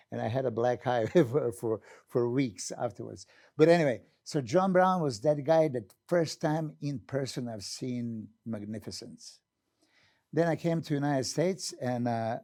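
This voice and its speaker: A man's voice: mid-range voice, gravelly, mellow, dry recording, clean studio sound. A Serbian man in his sixties with a Serbian accent, a former pro bodybuilder telling stories as a guest, earnest and full of hesitant fillers. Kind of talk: storytelling interview answer.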